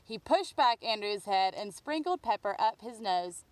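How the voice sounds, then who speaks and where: A woman's voice: mid-range voice, animated, unhurried, dry recording, low-quality recording. A woman reading a picture book aloud expressively for young children. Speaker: children's storybook reader.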